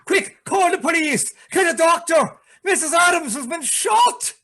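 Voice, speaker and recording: A man playing an excitable, fretful neighborhood grocer in an old-time radio drama. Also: very high-pitched, measured pace, raised voice, exaggerated voice. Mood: panicked.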